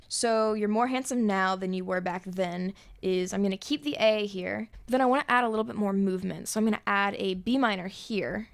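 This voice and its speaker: A young woman with a high voice and a gravelly edge of fry running through her words. She talks through her songwriting choices in a relaxed, explanatory way, often stopping on filler sounds while she thinks. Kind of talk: casual music theory walkthrough.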